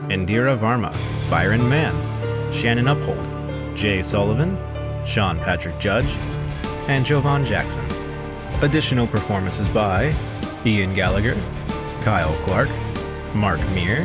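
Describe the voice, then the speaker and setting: Credits announcer, male, lowish voice, scripted list read, loud guitar score underneath. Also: expressive, measured pace, pauses often. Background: guitar music.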